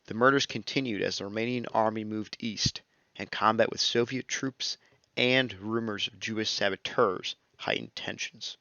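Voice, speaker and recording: A young man narrating a scripted history lesson in a steady, measured reading voice, as a close voice-over. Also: lowish voice. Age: young adult. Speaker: student narrator. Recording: dull lo-fi dry recording.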